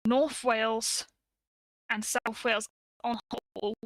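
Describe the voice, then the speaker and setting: A woman's voice: a little high, level tone, a little slow, dry recording, clean studio sound. A woman, explaining things casually as a co-host in an informal talk.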